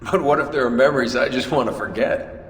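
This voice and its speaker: A man playing a casual, low-key young assassin recruit in a video game. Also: quick pace, fairly bright tone, close to an everyday voice. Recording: hall reverb, faint music behind.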